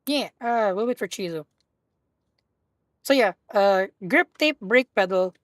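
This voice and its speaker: Husky woman chatting on a live stream. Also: medium pitch, animated, measured pace, no room sound, clean studio sound. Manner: rambling, self-correcting, playful. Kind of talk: casual live-stream chat while gaming.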